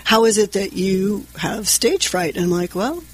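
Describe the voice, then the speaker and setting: A woman's voice: mid-range voice, animated, a little slow, breathy edge. A woman brand coach in an interview, talking earnestly and explaining with anecdotes. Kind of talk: casual interview talk.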